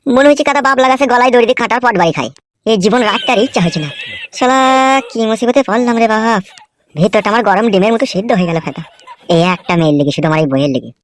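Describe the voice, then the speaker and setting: A man voicing a lovesick, foul-mouthed young husband in a comedy cartoon, high-pitched and melodramatic, pleading and grumbling. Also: animated, rapid-fire, a little hiss or hum. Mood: despairing. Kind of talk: amateur comedy cartoon dubbing. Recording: music under the voice.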